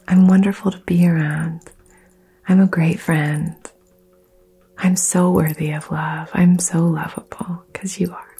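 A woman whispering soothing affirmations in an ASMR session, soft and gentle. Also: lowish voice, breathy edge. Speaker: ASMR host.

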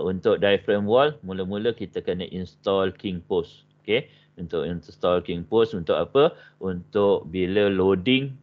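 An older man with a Malaysian Malay accent giving an online lecture, peppering his talk with "okey" and English technical terms. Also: low voice. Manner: frequent "okey" fillers, mixes in English terms. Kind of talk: online lecture on basement construction. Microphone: webcam on a video call. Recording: dull video-call audio.

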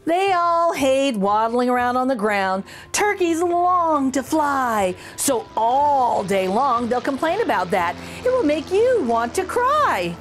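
A woman's voice: high-pitched, big pitch swings, slow pace, few pauses, faint music behind. A middle-aged woman hosting a channel, reading a children's picture book aloud in an exaggerated, sing-song storytelling voice.

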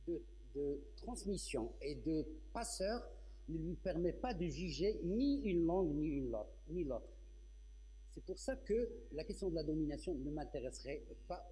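A man speaking as a translator on a round-table panel, in measured, deliberate statements. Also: on the high side, dark tone, rough recording.

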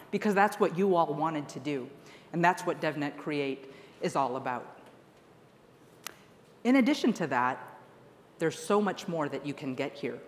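A woman delivering an earnest, emphatic keynote speech to a conference audience. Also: low-pitched. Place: large conference hall stage. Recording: long hall reverb.

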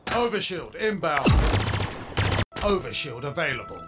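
An actor playing a polite, dry-witted butler of an AI assistant in a video game. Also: male voice, a little high, sing-song, a little slow. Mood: composed. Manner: polite, dry, droll understatement. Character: male AI combat assistant, refined dry butler. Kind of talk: video game announcer lines. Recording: loud game music and gunfire behind. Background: loud music, gunfire.

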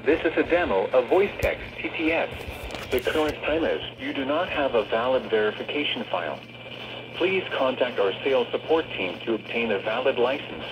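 A synthetic text-to-speech man reading scripted weather forecasts as an automated radio announcer, in a stilted delivery. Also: mid-range voice. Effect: synthetic voice over weather radio. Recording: muffled, noisy weather radio speaker.

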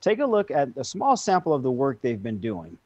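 A man's voice: medium pitch, wildly swooping pitch, brisk. An American man in his thirties hosting a broadcast interview, with slightly dull sound. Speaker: news-style host.

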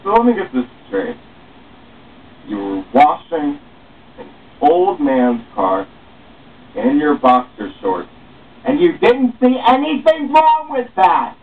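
A man's voice: fairly high pitch, huge pitch swings, slow, long pauses, voice pushed up, exaggerated voice. A man reading the part of a reluctant young novice panhandler in a story, doubtful and exasperated. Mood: incredulous. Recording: muffled phone-like webcam, distant mic, faint hiss.

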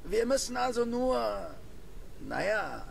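An actor playing a laid-back, roguish space scavenger in a video game, with a gravelly voice and a drawling manner. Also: male voice, high-pitched, big pitch swings, takes it slowly, a little hiss or hum, full character voice. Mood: wry, casual. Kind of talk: video game cutscene acting.

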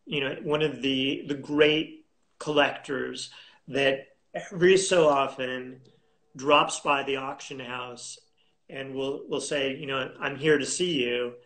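A man in his thirties talking as a guest in a video call interview, unhurried and halting with many fillers and restarts. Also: mid-range voice, fairly even intonation, a bit bright, low-quality recording. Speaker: auction house specialist, guest. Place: home room indoors. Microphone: video call webcam.

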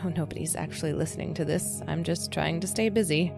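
A woman voicing a dry, boastful computer intelligence in an audio drama, brisk, skeptical and deadpan. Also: deep voice, creaky, over music.

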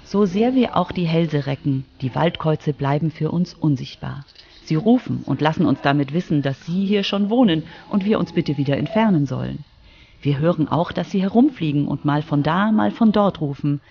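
A woman's voice: sits low, animated, moderate pace, vocal fry, dull audio, slight background noise. A woman reporter reading scripted narration for a children's radio feature.

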